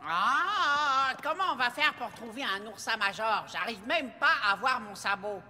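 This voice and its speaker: An actor voicing a naive, excitable young colt, a dim schemer with a hesitant, eager way of talking, in a dubbed cartoon. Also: medium pitch, measured pace, noisy background, close to an everyday voice. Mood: puzzled. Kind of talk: dubbed children's cartoon acting.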